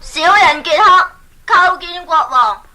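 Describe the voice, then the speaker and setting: A woman's voice: very high-pitched, animated, slow pace, sharp tone, heavily acted. A woman playing an earnest, plucky boy adventurer in a Cantonese children's radio drama, with a Hong Kong accent and a wide-eyed, exclamatory delivery. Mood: respectful, eager.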